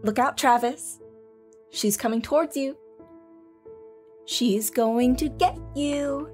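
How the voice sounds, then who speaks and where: A woman's voice: high voice, slow pace, darkish tone, soft background music. A woman playing a caring, affectionate young wife and mother in an audio drama. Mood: playful.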